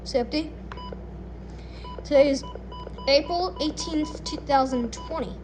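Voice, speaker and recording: A woman casually narrating an unboxing and setup in a halting way, muttering short phrases to herself. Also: high voice. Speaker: unboxing video host. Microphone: handheld camera or phone, no tripod. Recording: noisy handheld home video recording.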